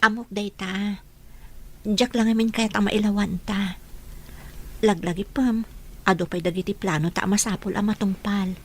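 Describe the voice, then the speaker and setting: A woman's voice: medium pitch, steady tone, moderate pace, long pauses, lightly acted. A woman playing a sweet, hesitant young woman in love in a radio drama, with a Filipino accent. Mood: tender, earnest.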